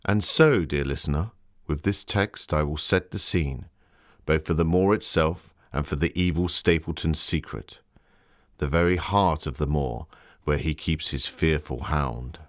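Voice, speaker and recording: Man playing a very deep-voiced Victorian gentleman author. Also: unhurried. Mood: fascinated, grave. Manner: formal, literary, theatrical. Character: Victorian gentleman author recounting his moorland travels with relish. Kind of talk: scripted dramatic narration.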